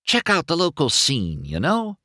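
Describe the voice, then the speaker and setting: A man acts out a cocky, impatient talking race car for a storybook. The voice is raised and swings widely in pitch, slick and easily flustered, in a dry close recording. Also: huge pitch swings, a bit bright, dry recording, heavily acted. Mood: wheedling. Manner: fast-talking, stammering when flustered, wheedling. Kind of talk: audiobook character voice.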